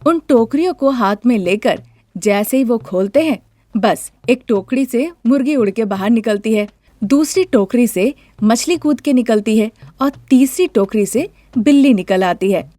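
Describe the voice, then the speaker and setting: A woman's voice: fairly high pitch, animated, unhurried, dark tone, no room sound. A woman narrating a scripted moral story as the storyteller.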